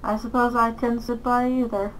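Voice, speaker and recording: A woman casually role-playing a video game's player lines aloud, reading in a halting, deliberate way. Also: on the high side, flat delivery, a little hiss or hum, rough recording. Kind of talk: casual role-play story-time narration of a video game.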